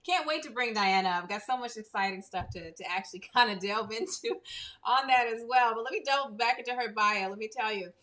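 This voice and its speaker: A woman's voice: fairly high pitch, fairly quick, pushed and bright. A woman hosting an online talk show, reading a guest's bio with enthusiastic asides, on a video call. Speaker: host.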